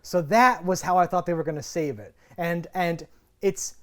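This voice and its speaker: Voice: medium pitch, normal speed, fairly bright tone. A podcast co-host in casual TV review banter, arguing points by acting out bits of imagined dialogue.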